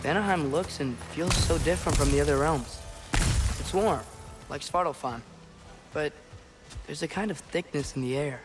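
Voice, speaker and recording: Man playing higher-voiced, earnest teenage boy. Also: moderate pace, long pauses, over music, lightly acted. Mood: curious, pensive. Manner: casual, inquisitive, sincere. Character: earnest, curious teenage boy giant archer. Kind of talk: video game cinematic acting.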